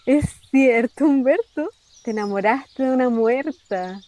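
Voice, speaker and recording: A high-voiced, teasing Chilean woman. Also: unhurried, dark tone. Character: inquisitive, teasing, affectionate young woman. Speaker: actor. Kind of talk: radio drama acting, casual dialogue.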